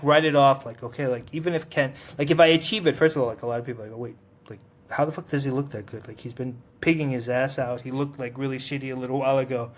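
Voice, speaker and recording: A man in his forties co-hosting a casual podcast chat, talking emphatically with lots of "like" and some swearing. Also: mid-range voice. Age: 40s. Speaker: podcast co-host.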